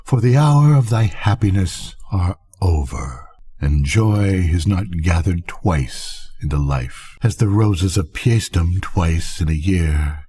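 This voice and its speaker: An older man voicing a dying wife in a gothic story, solemn and fervent, with husky, drawn-out, declamatory delivery. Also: low-pitched, expressive, warm tone, full character voice. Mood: solemn, foreboding.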